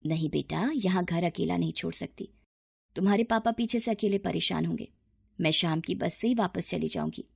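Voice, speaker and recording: A woman's voice: lowish voice, normal speed, vocal fry, slightly rough, dry recording, studio quality, full character voice. A woman voicing a humble middle-aged mother in a moral story, meek and apologetic in manner.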